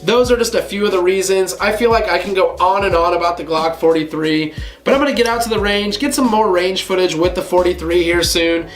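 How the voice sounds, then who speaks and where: A man hosting a casual gun review vlog, slightly hoarse from a cold, talking to viewers in a relaxed conversational way. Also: sits high, a little fast, reverberant, faint music behind. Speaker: gun channel host.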